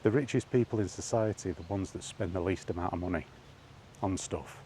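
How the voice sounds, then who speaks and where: Man, low voice, mellow tone, blunt lecturing vlog rant, outdoors. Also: fairly quick, slight background noise. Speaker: gaming vlogger. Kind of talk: opinion rant while walking. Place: outdoors by allotments.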